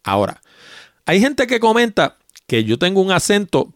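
A man's voice: high-pitched, sing-song, moderate pace, fairly bright tone, dry recording, clean studio sound. A man in his sixties with a Puerto Rican accent, hosting a tech podcast and answering listener mail in a chatty, explanatory way. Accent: Puerto Rican Spanish. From Puerto Rico. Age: 60s. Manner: chatty, explanatory, plain-spoken.

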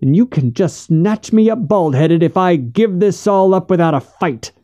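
A male narrator plays an indignant frontier widow in an audiobook, guarding her land with defiance and distress. The voice is pitched well up and mellow at the core. She pleads and insists with heavy emphasis. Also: animated, moderate pace. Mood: grieving defiance. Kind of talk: audiobook character voice.

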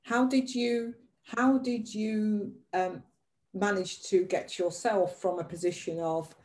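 A woman's voice: mid-range voice, fairly even intonation, slow, a little mellow. A woman interviewing someone conversationally, feeling her way through her questions with tentative phrasing.

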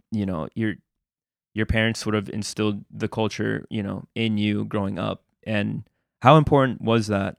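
A man's voice: on the low side, gravelly, clear, resonant tone, dry recording, clean studio sound. A man hosting a podcast interview, chatting casually and hesitantly with many fillers and restarts. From United States. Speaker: podcast host.

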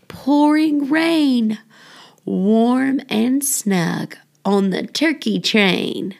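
A woman with a creaky voice, hosting and reading for a children's storytime podcast. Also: fairly high pitch. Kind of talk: read-aloud children's story with casual intro and outro.

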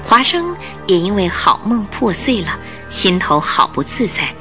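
A woman's voice: mid-range voice, very animated, a little slow, music in the background. Female storyteller for Mandarin radio drama: medium register, scripted narration.

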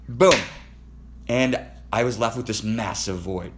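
A man's voice: medium pitch, measured pace, background noise. A Canadian man telling personal stories in casual conversation, profane, rambling and emotionally intense.

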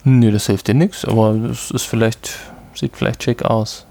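A man co-hosting a podcast, relaxed and explaining things with light laughter. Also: on the low side, normal speed, creaky. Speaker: podcast co-host. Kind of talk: casual chat.

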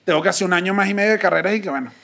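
A Venezuelan man with a Venezuelan accent, co-hosting a casual chat, blunt and animated, full of slang. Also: high voice, very fast, vocal fry. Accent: Venezuelan Spanish. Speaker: podcast co-host.

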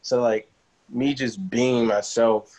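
A man's voice: medium pitch, slow pace, poor audio. Easygoing Nigerian-born man, musician guest. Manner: relaxed, loose, full of fillers and restarts. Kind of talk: casual interview chat.

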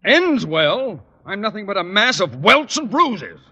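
An actor playing a pompous, exasperated middle-aged school principal in a 1940s-style radio comedy, blustering with indignation. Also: male voice, high voice, big pitch swings, a little slow, sharp tone, dull audio, exaggerated voice. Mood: indignant. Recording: echoey old radio broadcast.

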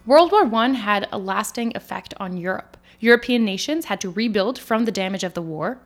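A woman raised in France co-hosting a history podcast, giving clear, steady scripted narration in an explanatory tone. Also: mid-range voice, normal speed, faint music behind. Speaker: podcast co-host.

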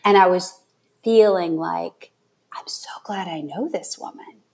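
A woman's voice: lowish voice, measured pace, gravelly. Effusive woman hosting a podcast. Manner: effusive, expressive. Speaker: podcast host. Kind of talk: conversational interviewing.

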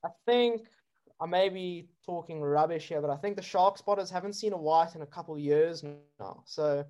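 A man's voice: a little high, moderate pace, highs cut off. A man from South Africa, a surfer who films with drones, chatting casually in an interview with lots of fillers. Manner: relaxed, chatty, many fillers and laughs.

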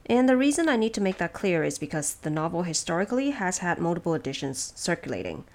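A woman hosting an audio series, talking through prepared notes in a relaxed, measured, explanatory way and addressing her listeners directly. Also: on the low side. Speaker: podcast host and narrator of production notes.

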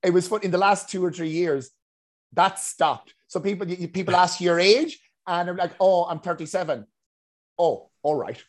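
This is a podcast host in his late thirties with a slightly high voice. He banters in a loose, animated way and swears often. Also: normal speed. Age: 30s. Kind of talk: casual podcast chat.

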